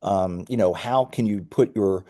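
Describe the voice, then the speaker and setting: A man with a notably low voice and a warm, relaxed tone. He speaks as a founder in an interview, explaining things conversationally and in a measured way. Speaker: company founder being interviewed.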